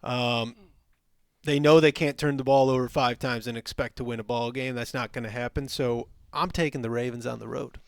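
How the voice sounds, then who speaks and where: A man with an average-pitched voice talks casually as a sports show panelist. The sound is very dry, without room echo. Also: steady tone, normal speed, vocal fry, clear, resonant tone.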